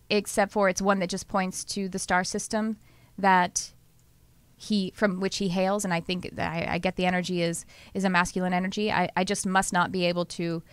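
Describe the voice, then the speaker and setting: A woman with a Texas accent hosting a podcast, talking casually and lively, often laughing and speeding up in bursts. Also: mid-range voice, clear, resonant tone, dry recording. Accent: Texan. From Southern US. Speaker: podcast host and channeler. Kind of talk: casual conversational podcast chat.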